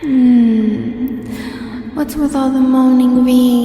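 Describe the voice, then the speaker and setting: A British woman playing a flirtatious, teasing fiery Christmas spirit in a comedic audio roleplay, sultry and unhurried. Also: on the high side, creaky, slightly rough, full character voice.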